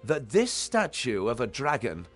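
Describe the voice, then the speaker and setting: Earnest mid-pitched lieutenant. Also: male voice, huge pitch swings, measured pace, fairly bright tone, soft background music, full character voice. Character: dutiful male soldier lieutenant reporting to his superior. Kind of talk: dramatic video game acting.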